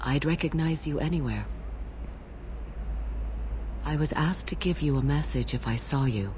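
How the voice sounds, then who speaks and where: Low-voiced, solemn alien messenger. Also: female voice, unhurried, darkish tone, a little hiss or hum. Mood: earnest. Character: solemn, devoted female alien messenger, grateful survivor. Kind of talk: scripted video game dialogue.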